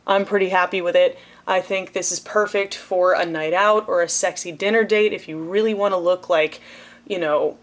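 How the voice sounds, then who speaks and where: A young woman talking through a makeup tutorial in a chatty, playful way, sometimes dropping to a near whisper as she works. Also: mid-range voice. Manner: chatty, playful, self-deprecating. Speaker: beauty vlogger.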